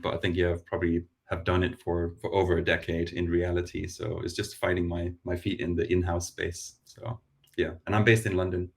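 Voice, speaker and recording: Low-voiced Danish man on a video call. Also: flat delivery, creaky. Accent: Danish, softened. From Denmark. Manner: modest, self-deprecating, halting restarts. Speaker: panelist. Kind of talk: panel introduction. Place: London.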